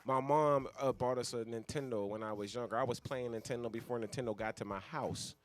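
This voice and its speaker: A man chats loosely as a guest on a talk show. His pitch sits in an ordinary middle range. He is blunt and slangy, and he swears freely as he talks. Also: fairly quick. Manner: blunt, heavily profane, slangy. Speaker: guest, avid gamer. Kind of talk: casual talk-show conversation.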